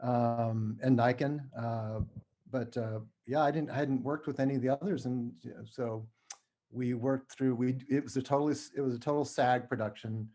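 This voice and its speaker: A man speaking informally and modestly as a podcast guest, a playwright chatting about his work in a halting way. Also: mid-range voice, steady tone, dark tone. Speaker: podcast guest, playwright and audio drama creator. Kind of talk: casual conversational interview.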